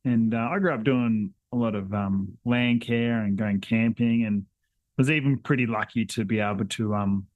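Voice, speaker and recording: A man's voice: fairly low pitch, normal speed, dry recording, phone-quality sound. A young man from northern New South Wales, talking casually in an interview with many hesitations and restarts. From northern New South Wales, Australia. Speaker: environment council coordinator.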